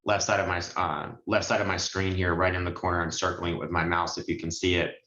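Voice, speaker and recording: A man with a fairly low voice presents a training walkthrough on a group video call. He explains each step informally and talks straight to the learners. The sound is slightly dull, with a clearly roomy echo. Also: level tone, fast, pushed and bright. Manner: explanatory, step-by-step, addresses listeners directly. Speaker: notary trainer and signing agency presenter. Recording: muffled, roomy online call audio.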